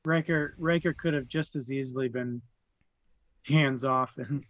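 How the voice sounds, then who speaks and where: A man in a casual book discussion, laughing often and pausing with fillers. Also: medium pitch, steady tone, no room sound. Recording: dull lo-fi mic.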